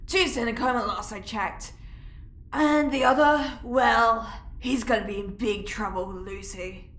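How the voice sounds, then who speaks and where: A woman's voice: a little high, unhurried, fairly bright tone. A woman playing a curt, grudging prison guard in an audio drama, grumbling and easily flustered. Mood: dismissive. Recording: echoey room.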